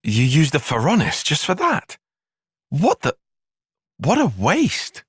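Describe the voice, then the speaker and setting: A young tactician for a video game, dryly critical and analytical. His voice has a slightly husky edge and a lively, shifting pitch. The diction is precise and formal, and the sound is dry and close. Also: takes it slowly, dry recording, heavily acted. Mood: alarmed, urgent. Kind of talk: video game cutscene voice acting.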